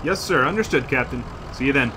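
A man's voice: mid-range voice, animated, a little fast, lightly acted. A man playing an obliging supply truck driver in an audio drama. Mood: respectful. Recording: truck and traffic noise behind.

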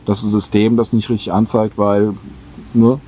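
A man's voice: on the low side, little pitch movement, moderate pace, dark tone, slight background noise. A man interviewing the driver in a parked car, enthusiastic and asking questions that end with tag questions. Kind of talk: casual chat.

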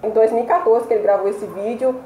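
Young woman, warm mellow tone, chatty enthusiastic, echoey room. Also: a little high, fairly even intonation, quick pace, a little hiss or hum. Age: young adult. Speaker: co-host. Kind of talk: casual chat. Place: indoor room against a plain wall. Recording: roomy echo.

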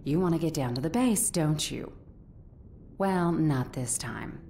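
Game role: sassy, seductive lady scientist, low-pitched, very expressive, sarcastic, faint noisy rumble behind. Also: a little slow. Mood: exasperated, sassy. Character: sultry, sassy female scientist with flirty nerd patter. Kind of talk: video game cutscene acting.

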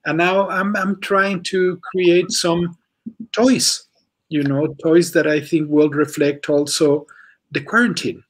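A man's voice: on the high side, level tone, slow. Man rambling with many "you know"s. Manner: hesitant, many "you know" fillers and restarts. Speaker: panel artist. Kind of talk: reflective panel answer. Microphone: video call.

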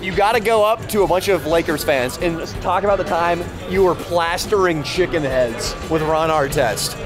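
A man's voice: on the high side, normal speed, loud music behind the voice. A young man hosting an outdoor comedy street show, bantering in brash, joking slang. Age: young adult. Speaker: prank show host. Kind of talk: casual banter, comic street bits. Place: outdoor city plaza.

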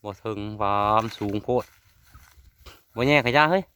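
A man's voice: measured pace, bright, forceful tone. A man with an Isan Thai accent chatting casually and in a folksy way to his viewers while filming outdoors in the countryside. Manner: often addresses viewers in a folksy way. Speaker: vlogger filming a family outing. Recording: noisy outdoor rural recording.

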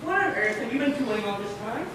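A young man on stage playing a fussy, flattering radio show boss in a theatrical, projected way. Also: very high voice, brisk. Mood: exasperated. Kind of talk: amateur stage play acting. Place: large indoor theater stage, far camera. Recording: echoey stage from far off, loud noise.

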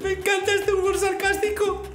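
A man's voice: extremely high pitch, level tone, brisk, a bit bright, roomy sound, soft background music. Enthusiastic Spanish man reacting to a video. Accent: Peninsular Spanish. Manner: enthusiastic, laughing often. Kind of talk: casual reaction commentary. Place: small home studio. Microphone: headphones and desk mic.

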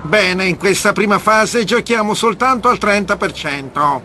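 Man playing a haughty, bright-voiced teen captain. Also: sits high, moderate pace, slight background noise. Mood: condescending. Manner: pompous, indignant. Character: haughty, touchy teenage boy, rival team captain. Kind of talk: anime dub acting.

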